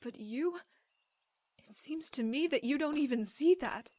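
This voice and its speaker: A woman's voice: sits high, lively intonation, measured pace, a little mellow, dry recording. A woman playing a weary, hurt wife in a game drama, pleading and reproachful. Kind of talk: dramatic game cutscene acting.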